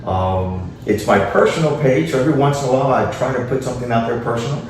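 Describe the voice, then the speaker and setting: A man's voice: medium pitch, quick pace, a little mellow. A man from Miami answering interview questions informally as a guest, dropping in Spanish phrases. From Miami, Florida. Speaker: interview guest, city official and former teacher. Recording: echoey room, distant mic, faint noise.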